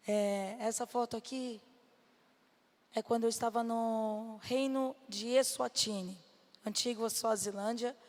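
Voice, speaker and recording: A woman with a Brazilian Portuguese accent and a slightly hoarse voice, giving a personal testimony to a church congregation as a guest missionary. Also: fairly high pitch, a little mellow. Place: church hall. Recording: reverberant hall sound through PA.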